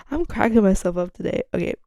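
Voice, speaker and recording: A young woman chats on her own podcast, rambling, giggling and swearing freely. Her voice is gravelly and mellow. The sound is dry and close. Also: no echo, highs cut off. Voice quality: gravelly low-toned voice. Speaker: podcast host. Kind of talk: casual solo chat.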